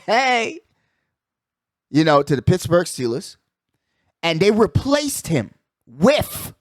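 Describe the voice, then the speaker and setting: A man's voice: fairly high pitch, no room sound, studio quality. A man hosting a casual sports talk show, giving emphatic opinions with repeated phrases and slangy asides. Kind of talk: casual sports debate and commentary.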